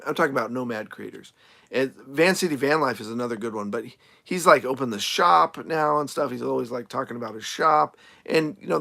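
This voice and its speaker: A man who is a nomad RV creator, chatting casually on a live stream as he answers viewer comments, rambling with lots of fillers and restarts, his voice going a little hoarse. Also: mid-range voice, fairly bright tone. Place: RV camp in the desert, outdoors.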